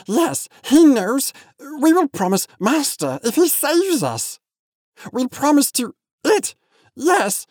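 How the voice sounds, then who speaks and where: A man's voice: very high voice, sing-song, slow pace, darkish tone, no echo, studio quality, voice pushed up, exaggerated voice. A man voicing a wretched, cringing small creature from a storybook, whining and whimpering in broken, repetitive phrases. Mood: desperate fawning. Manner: repetitive broken speech, calls himself we.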